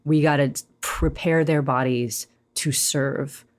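A woman from Hawaii, a poet speaking in a reflective interview, thoughtful and animated, often pausing with "um" and "you know". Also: low voice. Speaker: poet, guest.

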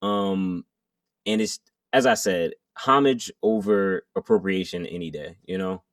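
Low-voiced rapper, loose and rambling. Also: male voice, big pitch swings, a little slow, a bit bright, no echo, distant mic. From Jamaican heritage. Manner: loose, rambling, many fillers and restarts. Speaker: guest rapper. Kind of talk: casual interview chat.

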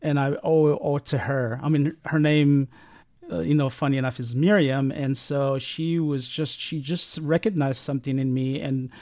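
A man who grew up in Israel, a sound engineer guest talking in a casual interview, pausing often with fillers and restarts. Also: mid-range voice, steady tone, a little mellow. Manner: halting, many fillers and restarts.

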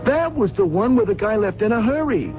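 Chatty, animated gossipy hotel clerk. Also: male voice, high voice, fairly quick. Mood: gossipy, excited. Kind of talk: 1970s radio drama acting. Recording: dull 1970s radio broadcast, music behind.

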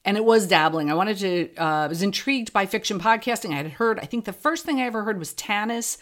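Female podcast guest, medium register, conversational, self-deprecating and animated, with emphatic repeats. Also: medium pitch, fast talker. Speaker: guest, comedy fiction podcast creator. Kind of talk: casual conversational interview.

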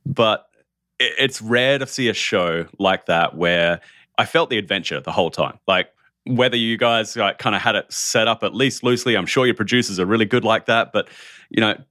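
A man's voice: on the low side, normal speed, sharp tone, dry recording, studio quality. A male interviewer and critic asking rambling, hesitant questions in a casual chat.

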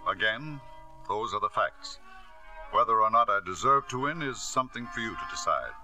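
An actor playing a domineering husband in an old radio play. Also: male voice, on the low side, animated, moderate pace, lightly acted. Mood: reflective. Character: Arnold Bolt, forceful domineering middle-aged man. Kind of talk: 1950s radio drama acting. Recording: old radio broadcast with faint music.

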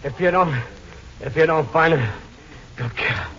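An actor playing a dying man in an old radio drama, halting and gasping with desperate urgency. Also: a little high, lively intonation, fairly bright tone, soft background music. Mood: desperate, fading. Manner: halting, gasping, repeating himself. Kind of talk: 1940s-50s radio acting. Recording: muffled old radio recording.

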